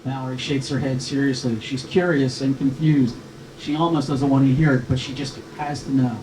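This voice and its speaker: A man's voice: mid-range voice, fairly even intonation, normal speed, a little mellow. A man reading stage directions as scripted narration for a screenplay. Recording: roomy, echoing, clearly noisy recording.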